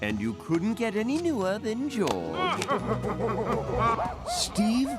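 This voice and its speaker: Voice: medium pitch, huge pitch swings, slow pace. A performer voicing a wise, fretful ape mentor in a cartoon, telling things in a dramatic, articulate way. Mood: wistful, dramatic. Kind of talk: animated cartoon voice acting. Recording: loud music behind the voice.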